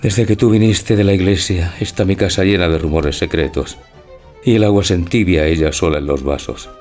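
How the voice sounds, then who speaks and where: An actor playing a timid, naive older bridegroom in a stage tragicomedy, hesitant, anxious and full of wonder. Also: male voice, low voice, a little fast, a little mellow, soft background music, lightly acted. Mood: awestruck, timid. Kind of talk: theatrical tragicomedy audio drama.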